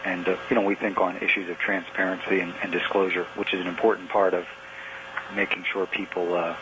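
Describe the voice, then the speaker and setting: Male political spokesman on a press call. Deep voice, halting delivery, clearly noisy phone line. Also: little pitch movement. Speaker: political campaign manager. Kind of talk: answering press questions on conference call. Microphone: telephone.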